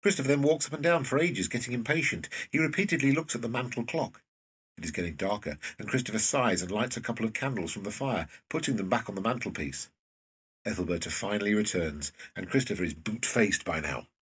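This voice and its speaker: Very husky, distant male narrator. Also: fairly low pitch, a little fast, fairly bright tone. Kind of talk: scripted present-tense drama narration.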